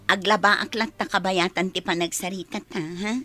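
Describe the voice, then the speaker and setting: A woman's voice: mid-range voice, fairly even intonation, normal speed, over loud music, lightly acted. An actress with a Filipino accent playing a hardworking, nagging young girlfriend in a radio drama, speaking earnestly. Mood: mildly exasperated.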